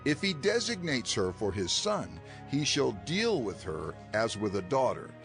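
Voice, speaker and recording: Moses, a slow, gravelly prophet. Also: male voice, mid-range voice, huge pitch swings, a bit bright, faint music behind, exaggerated voice. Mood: grave instruction. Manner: solemn, deliberate. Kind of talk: dramatized scripture audio.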